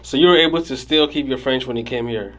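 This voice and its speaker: Upbeat podcast host. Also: male voice, medium pitch, fast, a bit bright. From Ghana. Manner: upbeat, hype-man banter. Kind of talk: casual interview chat.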